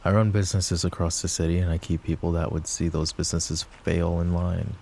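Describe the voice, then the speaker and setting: A man playing a possessive, overprotective mafia boss in an intimate roleplay audio drama, with a low gravelly voice and a measured, commanding manner. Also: level tone, darkish tone, a little hiss or hum. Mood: dry, indignant. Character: possessive, overprotective male mafia boss, curt but caring. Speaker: voice actor.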